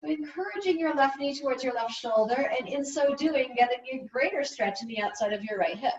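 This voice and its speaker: A woman instructor calmly guiding gentle yoga and relaxation in an unhurried, soothing way. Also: high voice, slight background noise. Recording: roomy, distant, slightly muffled class recording.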